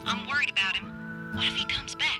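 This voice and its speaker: An actor playing a loyal, concerned squad member in a video game. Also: male voice, very high voice, quick pace, loud music behind the voice. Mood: worried.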